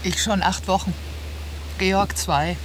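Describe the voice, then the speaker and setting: A woman's voice: mid-range voice, fairly even intonation, takes it slowly, lightly acted. A woman acting an independent, outgoing teacher and traveller in a naturalistic radio drama, chatty and self-assured. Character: independent, outgoing female teacher, seasoned backpacker. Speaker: actor. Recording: hissy old cassette of radio play.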